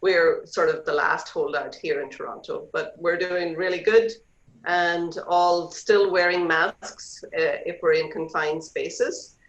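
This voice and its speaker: A woman's voice: on the low side, slow pace. Irish woman on a roomy call. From Ireland. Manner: chatty, relaxed, hesitant with fillers. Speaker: real estate agent guest. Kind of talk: video call interview. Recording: roomy, dull video call audio.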